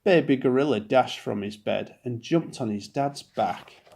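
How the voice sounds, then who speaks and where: A man narrating a children's picture book as a dad reading aloud, with expressive, deliberate phrasing. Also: medium pitch, vocal fry.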